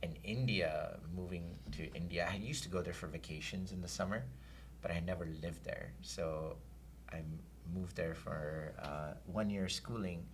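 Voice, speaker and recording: A very deep-voiced middle-aged man, a guest who grew up in Saudi Arabia, recalls his past in an unhurried, reflective way, pausing on fillers. A faint hum of room ambience sits underneath. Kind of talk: casual interview chat.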